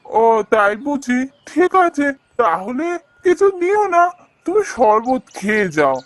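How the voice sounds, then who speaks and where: A woman's voice: fairly high pitch, sing-song, slow. A woman voicing a poor, kindly, downcast male street seller in a cartoon fairy tale, with a homely, plaintive delivery. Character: poor, downcast, kindly husband who sells sherbet.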